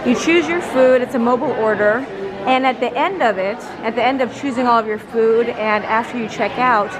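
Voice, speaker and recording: A woman travel vlogger, a bit hoarse from losing her voice, chatting step by step through a theme park visit. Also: a little high, moderate pace. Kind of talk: casual vlog narration. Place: busy theme park, indoors and out. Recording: crowded park noise with music. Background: crowd chatter and music.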